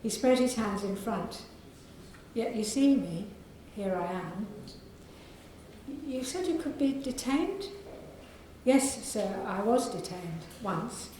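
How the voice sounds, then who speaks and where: A woman with a soft, croaky voice, an author reading aloud from her novel to an audience in a library. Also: medium pitch, lively intonation, heavy reverb, slight background noise, low-quality recording. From England. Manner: measured reading with clearing of the throat.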